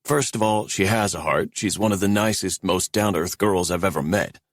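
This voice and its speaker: A man's voice: deep voice, normal speed, no room sound, clean studio sound, pitched above the performer's own voice. A man voicing a chatty, earnest young college guy. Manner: earnest, talkative.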